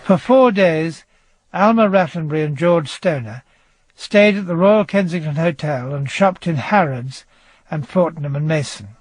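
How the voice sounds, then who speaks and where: Higher-pitched male narrator, dry sound. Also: lively intonation, a little slow, no room sound, some chatter behind. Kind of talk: scripted true-crime narration.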